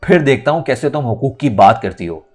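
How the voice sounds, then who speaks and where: A man's voice: medium pitch, animated, fairly quick, a little mellow, close to an everyday voice. A man voicing a domineering, scornful man in a dramatic audiobook, speaking in a threatening, mocking manner. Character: domineering, scornful man forcing a woman into marriage. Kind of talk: dramatic audio novel reading. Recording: music under the voice.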